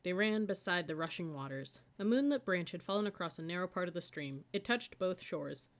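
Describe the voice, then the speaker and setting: A woman's voice: lowish voice, lively intonation, brisk. A woman reading a children's audiobook as scripted story narration. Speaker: audiobook reader.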